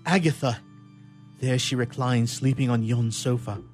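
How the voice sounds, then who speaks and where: A man's voice: medium pitch, measured pace, a little mellow, soft background music, close to an everyday voice. A man playing a haunted, guilt-ridden young scientist in an old melodramatic radio play, with declamatory archaic diction. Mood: remorseful.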